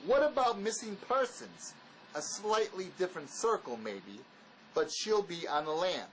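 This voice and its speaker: An actor playing a wary, blunt escaped convict in a drama, speaking in an argumentative, insistent manner. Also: male voice, high voice, big pitch swings, a little slow, slight background noise, poor audio. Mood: cautious, insistent. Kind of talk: dramatic dialogue reading.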